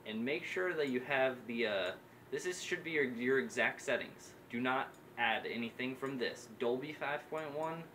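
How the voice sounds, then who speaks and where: A man's voice: medium pitch, fairly bright tone, slight background noise. A man talking casually through a setup tutorial, instructional and repeating his steps for emphasis. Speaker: presenter of a gaming headset setup tutorial. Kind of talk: casual unscripted tutorial talk.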